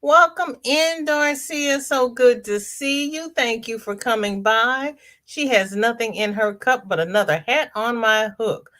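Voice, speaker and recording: A woman's voice: a little high, unhurried, fairly bright tone. A congested woman hosting a casual live crafting chat, greeting viewers by name and reading out their comments. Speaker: livestream host.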